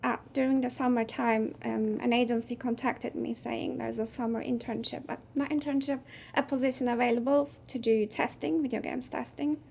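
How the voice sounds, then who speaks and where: A woman in her thirties, a native Turkish speaker, talks over a video call in an easy, thoughtful way, often pausing on "um". Her voice sits fairly high with a gravelly fry at the ends of phrases. A faint hiss of noise runs underneath. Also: steady tone. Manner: thoughtful, soft-spoken with frequent "um"s. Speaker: guest, game producer. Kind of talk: casual interview chat.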